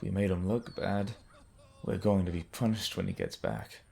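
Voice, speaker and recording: A man's voice: low voice, fairly even intonation, moderate pace, vocal fry, dark tone. An actor playing a worried soldier who briefs his captain in an audio drama.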